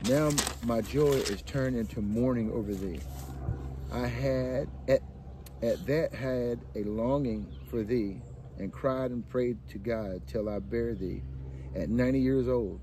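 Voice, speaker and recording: A man reading the lament of a grieving aged mother, solemn and slow, in a dark voice. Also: medium pitch, a little hiss or hum, close to an everyday voice. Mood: mournful.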